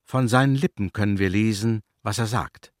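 A man's voice: on the low side, moderate pace, no echo, studio quality. A man narrating a children's radio play as a scripted storyteller.

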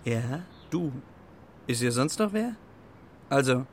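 An actor playing a cheeky, streetwise pigeon in a children's radio play, with a gravelly voice and a sardonic, colloquial swagger. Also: male voice, medium pitch, lively intonation, slow pace, slight background noise. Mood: mocking.

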